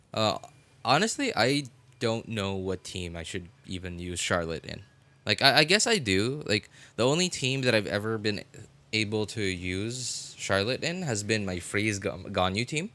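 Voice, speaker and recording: A man's voice: lowish voice, sharp tone. A man casually talking to viewers while live streaming a game, thinking aloud and often repeating himself.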